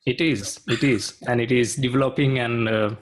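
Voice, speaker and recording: A man's voice: mid-range voice, steady tone, normal speed. A man from Sri Lanka speaking as a guest in a casual video-call interview, hesitant and full of fillers. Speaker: guest, IT consultant.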